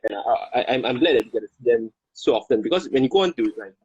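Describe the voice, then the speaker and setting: A man from Singapore with a Singaporean English accent, chatting as a guest, halting and repeating words. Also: medium pitch, expressive, darkish tone, slightly rough, no echo, dull audio. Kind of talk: casual chat.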